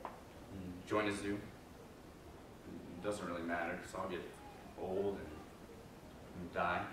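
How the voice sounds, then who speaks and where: A man's voice: low-pitched, level tone, takes it slowly. A man on stage playing a weary, defeated circus performer in a readers theater drama. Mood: defeated, resigned. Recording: very echoey hall, distant, noisy.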